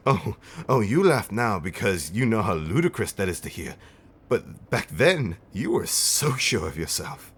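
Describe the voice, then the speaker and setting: Man playing centuries-old vampire husband, low voice, very animated intonation, theatrical, affectionate, teasing, old-fashioned wording, ASMR roleplay storytelling. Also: unhurried, a little hiss or hum. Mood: exasperated delight.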